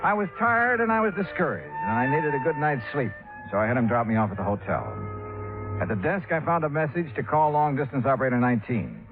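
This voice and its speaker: A tinny old radio recording carries a man acting as a dogged American investigator. His mid-pitched delivery moves freely up and down, conversational and wry, in classic 1950s detective drama style. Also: big pitch swings, brisk, lightly acted. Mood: weary. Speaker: lead actor. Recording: phone-like vintage radio recording with music.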